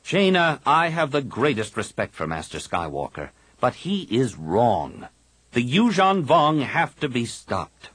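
A man's voice: mid-range voice, huge pitch swings, unhurried, husky, dry recording, pitched above the performer's own voice, full character voice. Cocky young Jedi hothead, read by a man, raised voice, dry close sound. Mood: resolute. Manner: insistent, forceful. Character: brash young male Jedi pilot, defiant.

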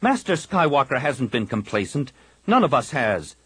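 A man's voice: medium pitch, big pitch swings, moderate pace, a bit bright, husky, no room sound, voice pushed up, full character voice. A man voicing a blunt, stern young male Jedi who snaps his words. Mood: curt. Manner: snappish.

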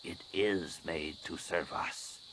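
A man voicing a stern alien woman of rank, a master scientist, speaking in a slow, hushed, imperious way for a story. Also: fairly low pitch, expressive, exaggerated voice. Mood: quietly stern. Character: stern female alien master scientist nearing middle age. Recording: clearly noisy recording.

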